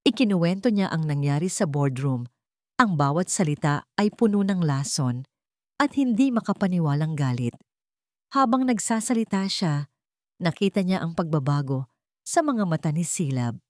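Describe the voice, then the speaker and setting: A woman narrates a dramatic story at a steady, measured pace. Her voice sits low for a woman. The recording is close and dry, with no room echo. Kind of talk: scripted narration.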